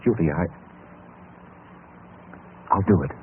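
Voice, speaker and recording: An actor playing a weak, tormented married man in an old-time radio drama, halting and pleading in agitation. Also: low-pitched, lively intonation. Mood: tormented, wavering. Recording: narrow, hissy vintage radio recording.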